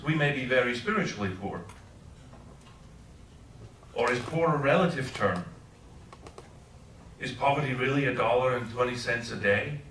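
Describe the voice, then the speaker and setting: A middle-aged man from Switzerland speaks as a visiting professor and keeps the talk informal with questions to his audience. His voice is bright and carries forward. The room is echoey and the mic sits some way off, with a faint hiss underneath. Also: mid-range voice, recorded from a distance. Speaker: guest speaker. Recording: echoey room, voice heard from afar.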